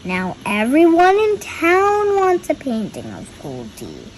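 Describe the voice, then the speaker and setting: A young girl reads a picture book aloud in a high voice. She takes her time and stops to explain the pictures, with faint noise behind her. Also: huge pitch swings, takes it slowly, low-quality recording. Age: child.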